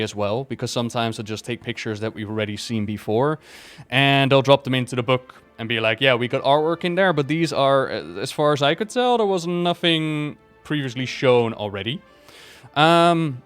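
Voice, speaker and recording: Smooth-voiced man giving a casual review. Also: medium pitch. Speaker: video creator reviewing a book. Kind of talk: casual, enthusiastic review chat.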